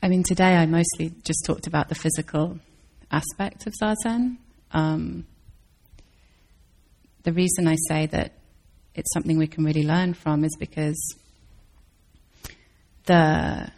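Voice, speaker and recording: Low, gravelly-voiced Zen teacher woman. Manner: thoughtful, searching, with restarts and soft laughs. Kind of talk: dharma talk Q&A answers.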